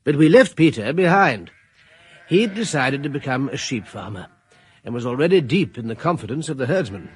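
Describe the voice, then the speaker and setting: An actor playing a middle-aged retired general who also narrates the story, in a radio drama. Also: male voice, medium pitch, big pitch swings, breathy edge. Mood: assured. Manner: assured, measured.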